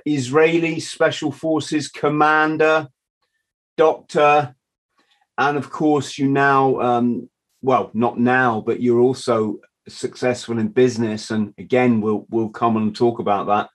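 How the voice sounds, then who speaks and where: A middle-aged man hosting a podcast over a video call from a home office, chatty and self-deprecating, laughing easily. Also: mid-range voice. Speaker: podcast host. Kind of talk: casual interview chat. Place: home office with a wall map. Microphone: video call webcam.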